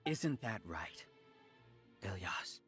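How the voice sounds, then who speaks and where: A smug scheming scholar villain. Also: male voice, low voice, sing-song, fairly bright tone, soft background music, full character voice. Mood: cold smug. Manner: measured, lecturing, coldly polite. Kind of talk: game voice acting.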